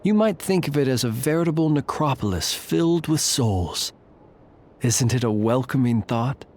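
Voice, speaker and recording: An actor playing a formal, measured male guardian of a cemetery in a video game. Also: mid-range voice, lively intonation, darkish tone, a little hiss or hum, natural voice. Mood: courteous. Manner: formal, measured, old-fashioned phrasing. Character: courtly male graveyard guardian, calm and formal. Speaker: guardian of the tombs.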